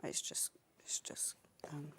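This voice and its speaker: A woman's voice: slow pace, a bit bright, in a whisper. A woman demonstrating a sewing technique on a live studio show. She explains steps practically and mutters to herself as she works. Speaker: sewing presenter.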